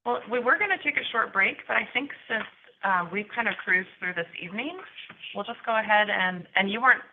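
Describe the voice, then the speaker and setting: A woman's voice: mid-range voice, a little fast. A woman chairing a city council work session, leading applicant interviews in a friendly, polite manner. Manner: friendly and polite, with many thank-yous. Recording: tinny line with faint hiss.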